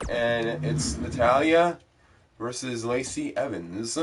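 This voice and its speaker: A man's voice: medium pitch, takes it slowly, noisy background. A young man, congested from a cold, chatting loosely and rambling through an intro to his game stream. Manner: chatty, loose, many fillers. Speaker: gaming vlogger.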